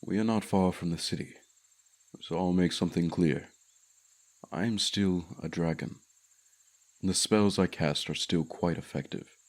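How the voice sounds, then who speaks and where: A man's voice: sits low, measured pace, long pauses. A man voicing a haughty, commanding dragon in a fantasy ASMR roleplay, speaking formally with pompous condescension. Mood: stern, commanding. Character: haughty male dragon, commanding keeper of a human pet.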